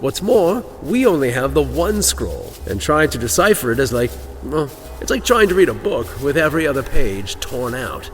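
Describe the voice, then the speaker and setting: An actor playing an earnest, scholarly cleric in a fantasy audio drama, explaining things emphatically. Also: male voice, medium pitch, very animated, moderate pace, faint music behind, lightly acted. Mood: emphatic, exasperated.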